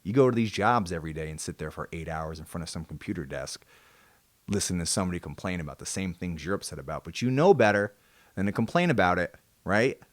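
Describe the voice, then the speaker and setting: A man hosting his own podcast in a casual solo monologue. He rambles and mocks himself, then breaks into sudden loud, jokey bursts. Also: low-pitched, lively intonation, quick pace, dry recording. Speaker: podcast host.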